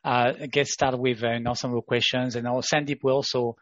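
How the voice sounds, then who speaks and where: A man's voice: mid-range voice, level tone, quick pace, fairly bright tone, dry recording, lo-fi sound. A man hosting a conversational interview, with loose phrasing that circles back on itself. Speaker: interview host.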